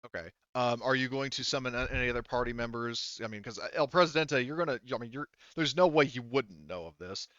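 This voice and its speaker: A man improvising narration as a tabletop game master, casual and halting as he thinks aloud. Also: medium pitch, a bit bright, no echo, muffled recording.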